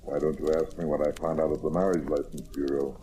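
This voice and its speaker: An actor playing a shrewd, wisecracking detective with an American accent in an old-time radio crime drama, confident and hard-boiled. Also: male voice, very deep voice, flat delivery, fast talker. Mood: cool, needling. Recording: noisy vintage radio recording.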